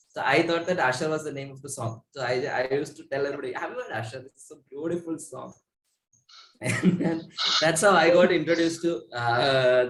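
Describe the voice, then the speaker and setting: A young man with an Indian accent chats casually as an interview guest. His voice sits a bit high, and the room around him echoes noticeably. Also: fairly bright tone. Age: young adult. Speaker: musician guest. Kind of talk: casual chat, reminiscing.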